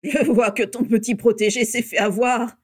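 A gruff, brutish wizard fighter, played by a woman reading an audiobook. He talks bluntly, with a sneer, in a rough storybook villain's manner. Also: mid-range voice, normal speed, lightly acted.

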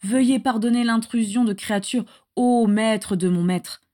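A woman voicing a fawning, devoted male house-elf servant in a grating, creaky voice, for a fantasy story reading. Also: on the high side, normal speed, mellow, natural voice. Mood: grovelling. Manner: obsequious, speaks of himself in third person.

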